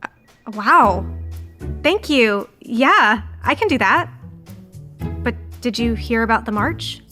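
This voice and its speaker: An actress playing a polite, hesitant nonprofit teacher in a naturalistic audio drama, courteous and tentative. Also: female voice, fairly high pitch, animated, takes it slowly, pushed and bright, soft background music, heavily acted. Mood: cautious. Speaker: actor in a scripted audio drama.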